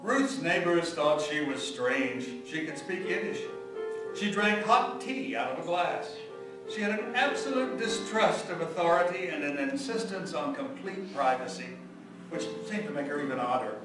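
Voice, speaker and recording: A man playing the narrator of a family story in a scripted radio drama, telling it in a measured, deliberate way. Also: a little high, animated, over music, lightly acted. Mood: measured, wry. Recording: echoey, distant microphone.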